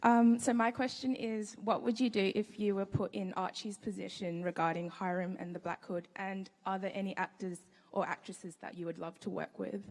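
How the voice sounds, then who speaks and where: Soft-spoken fan woman in echoey hall. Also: medium pitch, steady tone, moderate pace, hardly any pauses. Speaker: fan asking a question. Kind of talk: audience question at a panel. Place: large convention hall. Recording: distant voice in reverberant hall.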